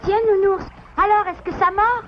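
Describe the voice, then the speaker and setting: A woman's voice: very high-pitched, steady tone, moderate pace, slight background noise. A woman voicing a mischievous, boastful little boy beaver in a children's cartoon, exclamatory and childlike. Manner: exclamatory, self-assured, childlike. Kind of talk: dubbed children's cartoon acting. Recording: dull lo-fi cartoon soundtrack, loud music.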